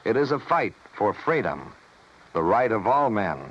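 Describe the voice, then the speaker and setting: A man's voice: fairly low pitch, sing-song, a little slow. A man announcing in 1950s radio style, reading scripted narration in an earnest, formal, dramatic way. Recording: dull vintage radio recording.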